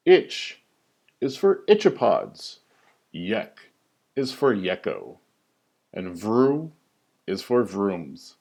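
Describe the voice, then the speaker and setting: Male storybook reader, slow and deliberate, highly animated, theatrical singsong emphasis on the rhymes. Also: vocal fry. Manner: theatrical, singsong rhyming delivery with drawn-out emphasis. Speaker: teacher reading a story aloud. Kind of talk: expressive children's picture-book read-aloud.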